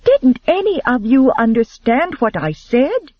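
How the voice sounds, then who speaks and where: A man's voice: very high voice, lively intonation, no echo, highs cut off, voice pushed up. A man voicing an earnest young woman pleading urgently in an audiobook. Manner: pleading, hurried.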